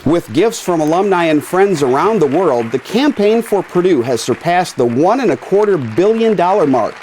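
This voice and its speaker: An American man reading scripted newsreel narration in a measured announcer's delivery. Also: high voice, sing-song, noisy background. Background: music.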